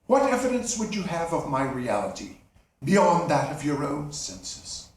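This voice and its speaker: A man voicing a solemn chained ghost, speaking slowly and gravely. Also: on the high side, sing-song, slight background noise. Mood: probing. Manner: slow, hollow, grave. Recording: echoey room, distant mic.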